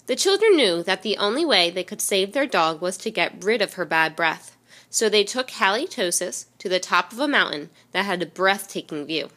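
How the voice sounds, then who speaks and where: Bright-voiced woman reading a picture book. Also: medium pitch, normal speed. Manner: expressive, storytelling delivery. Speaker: reader.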